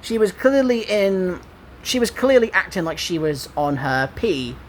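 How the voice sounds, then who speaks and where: A man in his twenties with an English accent and a high, squeaky voice, rambling through an aggrieved retelling of an online argument. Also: sing-song, unhurried, slight background noise. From England. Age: 20s. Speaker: gaming vlogger. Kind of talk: casual commentary.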